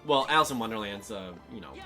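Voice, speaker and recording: A man's voice: fairly low pitch, lively intonation, fast talker, pushed and bright, faint music behind. A man co-hosting a gaming show, chatting casually while he plays, with laid-back, brief, half-distracted replies. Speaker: co-host of a gaming show, playing. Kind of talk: casual chat while playing a game.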